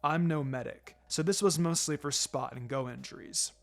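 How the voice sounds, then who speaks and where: A man voicing a calm, firm ninja mentor in a fan fiction reading, encouraging and dryly teasing in a measured, instructive way. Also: fairly high pitch, creaky. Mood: calm instruction. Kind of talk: fan fiction character dialogue.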